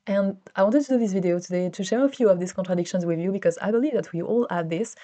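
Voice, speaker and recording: A woman's voice: medium pitch, fast talker, warm tone. A woman in her thirties with a French accent, chatting casually to camera in a solo vlog, often restarting her sentences. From France. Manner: chatty, with frequent restarts and fillers. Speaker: vlogger.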